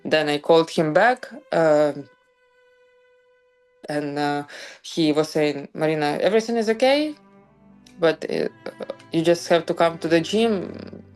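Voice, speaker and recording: A woman's voice: low-pitched, unhurried, long pauses, darkish tone, clean tone, soft background music. A woman from Ukraine with a Ukrainian accent, a professional beach volleyball player telling her story plainly in an interview. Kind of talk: recorded interview.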